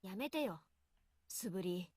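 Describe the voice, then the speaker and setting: A woman's voice: mid-range voice, animated, moderate pace, noisy background, close to an everyday voice. An actress voicing a brash teenage tomboy girl in a game, talking hesitantly and stammering. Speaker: game character.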